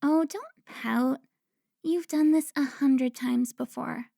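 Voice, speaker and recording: A woman's voice: sits high, creaky, dark tone, dry recording, clean studio sound, heavily acted. A woman playing a teasing, pouty, centuries-old vampire who looks twenty, in a cinematic audio roleplay. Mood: coy, needling. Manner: playful, pouty, drawn-out teasing.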